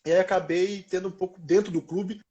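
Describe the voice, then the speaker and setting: A Brazilian man in his thirties talks casually in an interview, with a slightly high voice. His Portuguese is informal and full of slang, told like stories to friends. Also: steady tone, brisk, mellow, dull audio. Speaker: former professional footballer being interviewed.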